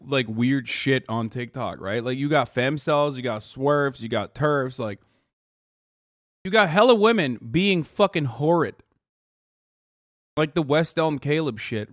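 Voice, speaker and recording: A man's voice: fairly high pitch, a bit bright, no echo, studio quality. A man streaming, reacting to clips with casual, exasperated, profane commentary. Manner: exasperated, sarcastic, swears a lot.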